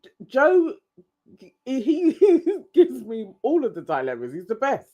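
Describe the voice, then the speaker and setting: A man's voice: very high-pitched, wildly swooping pitch, unhurried, dark tone, lo-fi sound. A man co-hosting a casual live stream chat, laughing often and talking with animation. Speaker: co-host.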